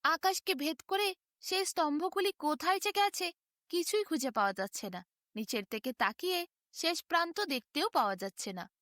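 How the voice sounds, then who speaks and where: A woman narrating a bedtime fairy tale in an expressive, dramatic, emphatic storytelling manner. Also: very high voice, normal speed, dry recording, studio quality.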